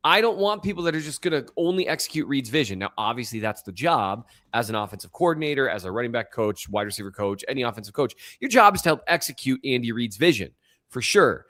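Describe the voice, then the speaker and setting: A man's voice: mid-range voice, very animated, fast talker, sharp tone. A man with a slight Minnesota accent co-hosting a sports podcast, chatting casually in a rambling, self-deprecating way. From upper Midwest and Missouri. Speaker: podcast co-host.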